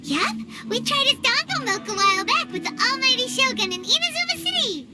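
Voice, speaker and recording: A woman voicing a tiny, childlike fairy girl companion in a video game, chatty and cheeky, squeaky and very expressive. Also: fairly quick, over loud music. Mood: excited. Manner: squeaky, expressive, refers to herself by name.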